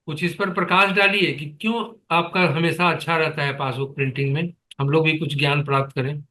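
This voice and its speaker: A man's voice: a little high, fairly even intonation, brisk, bright, forceful tone, a little hiss or hum. A man chairing a staff conference call in an authoritative, admonishing, questioning manner. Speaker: meeting chair, foundation leader.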